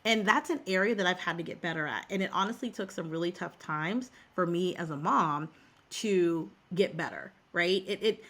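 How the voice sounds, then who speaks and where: A woman's voice: lowish voice, normal speed, a bit bright. A middle-aged woman who works as a career coach, talking as a guest in a podcast interview. She is emphatic and repeats words for stress.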